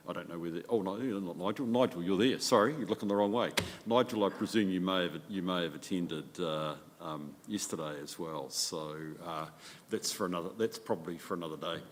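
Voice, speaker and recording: A middle-aged man chairs a council meeting in a relaxed, rambling way and often restarts his sentences. His voice sits very low. He speaks into a desk mic in a large chamber with a long reverb. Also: fairly quick, slight background noise. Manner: rambling, self-correcting, casual. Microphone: desk microphone.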